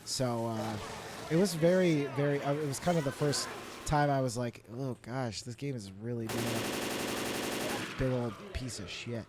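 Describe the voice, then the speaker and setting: A man with a hoarse, failing voice, co-hosting a podcast and rambling casually with jokes and fillers while gaming. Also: medium pitch, unhurried. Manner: rambling, joking, frequent fillers. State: losing his voice. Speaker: podcast co-host. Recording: game gunfire noise behind. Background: game gunfire.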